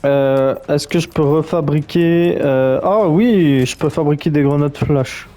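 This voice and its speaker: A man's voice: a little high, measured pace, mellow, slight background noise. A man commenting on a game as he plays, in a chatty, joking, reactive way. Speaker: gaming streamer. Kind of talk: let's play commentary.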